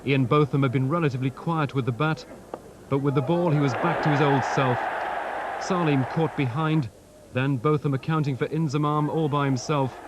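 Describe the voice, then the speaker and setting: Higher-pitched male sports reporter over loud noise. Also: steady tone, normal speed. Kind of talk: scripted sports report narration. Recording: loud outdoor noise behind voice.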